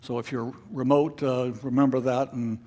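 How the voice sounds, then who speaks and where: A man leading a club meeting, giving informal announcements in a halting, unscripted way. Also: medium pitch, level tone, mellow. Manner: halting, hesitant, unscripted delivery. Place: club meeting room with an audience.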